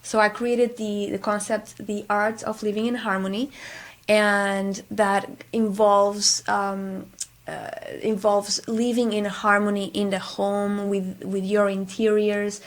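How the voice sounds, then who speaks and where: Portuguese-accented woman, fairly even intonation. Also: mid-range voice, takes it slowly. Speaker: radio guest, interior designer. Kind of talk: conversational interview talk.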